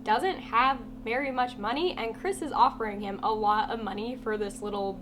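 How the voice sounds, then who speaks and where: A woman's voice: on the high side, normal speed. A woman with a congested, half-lost voice from a cold, giving a chatty, blunt book review vlog with lots of "like" fillers. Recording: steady humming background noise.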